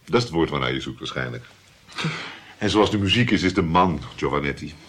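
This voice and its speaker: An actor playing a cynical, witty court musician in a radio drama, with a dry, mocking, knowing manner. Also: male voice, very deep voice, expressive, fairly quick, fairly bright tone, husky, heavily acted. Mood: wry, mocking.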